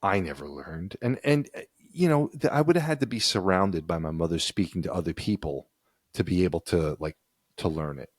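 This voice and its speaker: Low-voiced man co-hosting a chat. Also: animated, a little fast, no echo, studio quality. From United States. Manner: reads passages aloud, then riffs conversationally. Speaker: podcast co-host. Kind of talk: casual chat.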